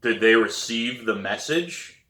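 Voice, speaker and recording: A man's voice: mid-range voice, slow, pushed and bright. A man reading a children's novel, playing a stern, formal and intimidating male school principal. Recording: roomy echo.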